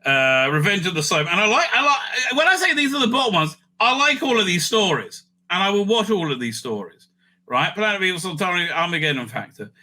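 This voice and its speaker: A man with a very bright, forceful voice pitched a little high. He talks casually as a video host, thinking out loud as he ranks things. He often breaks off and restarts his sentences in a loose, rambling way. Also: very animated, brisk. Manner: rambling, thinking aloud, self-interrupting. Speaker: video host ranking TV stories. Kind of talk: casual, off-the-cuff ranking commentary.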